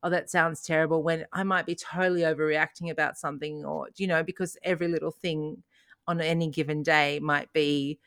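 Woman, middle-aged, lowish voice, rambling casual podcast chat, dry sound. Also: flat delivery, a little fast, fairly bright tone, no room sound. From Australia. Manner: rambling, frequent restarts and laughs. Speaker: podcast co-host.

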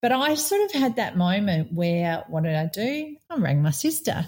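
Australian woman, 40s, podcast co-host; low, mellow voice; chatty storyteller. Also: big pitch swings, normal speed. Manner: chatty, storytelling, frequent "you know" and restarts. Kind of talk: casual conversational chat.

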